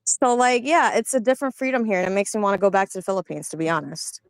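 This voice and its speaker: A woman's voice: medium pitch, lively intonation, fast talker, dry recording, poor audio. A woman in a casual political discussion, speaking reflectively with many fillers. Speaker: panelist.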